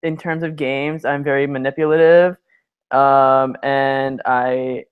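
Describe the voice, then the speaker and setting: Male student, 20s, from Canada, casual and confident, clean smooth voice. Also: mid-range voice, fairly even intonation, phone-quality sound. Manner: quick, casual, self-assured.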